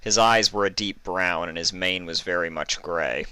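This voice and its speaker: A man narrates fan fiction from a script. His voice is deep yet very bright and forceful, recorded dry and close. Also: normal speed, smooth, no room sound.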